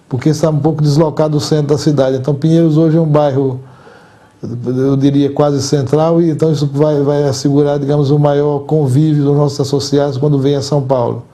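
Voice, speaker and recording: Older man, Brazilian Portuguese accent, very soft mellow tone, flat intonation, formal, deliberate, halting repetitions. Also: fairly high pitch. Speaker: outgoing president of an academic association. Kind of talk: measured interview answers in formal speech.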